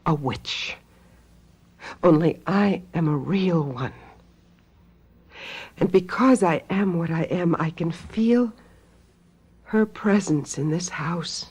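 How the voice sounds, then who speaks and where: An actress playing a shrewd, menacing sister-in-law who claims to be a witch, in a radio mystery drama, with a husky voice and a deliberate manner. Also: on the low side, darkish tone. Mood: coolly threatening.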